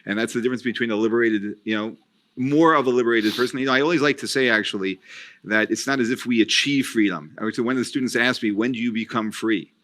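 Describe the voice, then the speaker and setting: A man's voice: mid-range voice, fast talker, a bit bright. A man hosting a panel discussion, reflective and affirming. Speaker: host.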